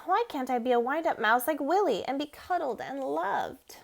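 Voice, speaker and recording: A woman's voice: high voice, expressive, normal speed, creaky. A woman voicing a small, earnest male mouse with a wistful, eager, pleading way of talking in a storybook.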